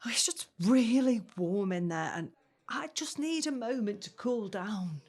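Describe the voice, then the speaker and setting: An actress playing an affectionate young 1950s wife in a naturalistic audio drama, gently teasing and reassuring. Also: female voice, medium pitch, lively intonation, a little slow, slightly rough. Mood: flustered, reassuring. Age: young adult.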